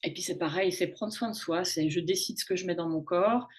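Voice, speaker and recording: A woman in her late forties talking casually as a show guest, often stopping and restarting her sentences. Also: lowish voice. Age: late 40s. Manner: halting, many restarts and fillers. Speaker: guest on a women's wellness talk show. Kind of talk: casual conversational talk. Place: in Canada.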